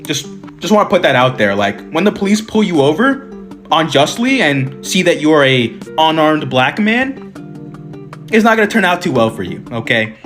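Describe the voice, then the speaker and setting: Sarcastic man, high animated voice, faint guitar bed. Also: normal speed. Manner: sarcastic, mocking. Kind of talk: reaction video.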